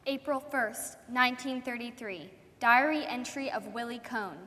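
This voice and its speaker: A woman's voice: fairly high pitch, normal speed, bright, forceful tone. A woman acting as a formal narrator in a solemn staged documentary reading. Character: formal female narrator announcing historic dates. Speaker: stage reader in a dramatic reading. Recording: echoey hall with long reverb.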